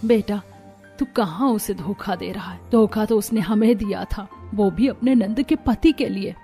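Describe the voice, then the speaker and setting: A woman's voice: medium pitch, measured pace, mellow, soft background music, close to an everyday voice. A woman voicing a worried, doting older mother in a cartoon story, fretful and affectionate. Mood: worried, consoling.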